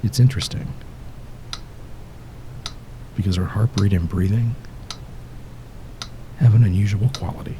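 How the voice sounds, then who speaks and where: A man narrating a guided sleep hypnosis session in a soothing, measured way, pausing between short phrases and letting endings drop softly toward a whisper. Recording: steady background noise, low rumble and ticking. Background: ticking clock-like rhythm.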